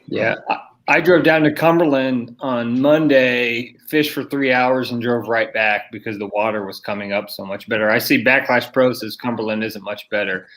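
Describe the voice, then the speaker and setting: A man's voice: medium pitch, fairly even intonation, normal speed, fairly bright tone. A man in casual, joking chat as an angler guest on a fishing podcast.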